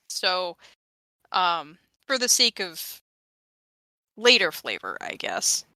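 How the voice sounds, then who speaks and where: A woman's voice: fairly low pitch, wildly swooping pitch, takes it slowly, bright, forceful tone, no echo. A woman chatting casually as a player in a tabletop roleplaying game, explaining things in a wry way.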